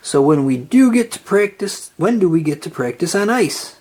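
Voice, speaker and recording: A storyteller voicing a cheerful, eager young sponge fry cook in a children's storybook, exclaiming excitably. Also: mid-range voice, moderate pace. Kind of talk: children's storybook read-aloud.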